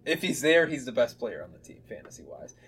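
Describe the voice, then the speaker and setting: A man's voice: mid-range voice, sing-song, fairly quick, fairly bright tone, husky. Blunt, joking man co-hosting a podcast. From Chicago area. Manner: blunt, opinionated, swears and jokes. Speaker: podcast co-host. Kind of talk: casual sports chat.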